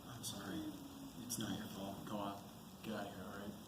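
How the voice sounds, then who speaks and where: A man's voice: on the low side, flat delivery, brisk, close to an everyday voice. Young man acting an anguished soldier, echoey stage. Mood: resigned. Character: anguished stranded army sergeant, a husband pleading and remembering. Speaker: student actor. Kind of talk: student stage drama. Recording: echoey stage, distant camera, background noise.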